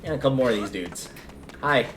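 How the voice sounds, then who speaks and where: A man's voice: mid-range voice, expressive, brisk, a bit bright, soft background music. A man livestreaming a video game, giving casual, rambling commentary as he thinks aloud.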